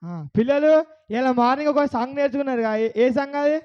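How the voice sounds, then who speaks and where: A man's voice: very high voice, steady tone, fast talker, clear, resonant tone, dull audio. A man hosting a kids' bible puppet show, asking the children questions over and over.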